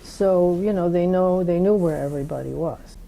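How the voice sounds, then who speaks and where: A woman in her eighties with a Dutch accent, speaking slowly in an oral history interview and pausing to search for her words. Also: on the low side, gravelly, mellow, low-quality recording. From Netherlands. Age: 80s.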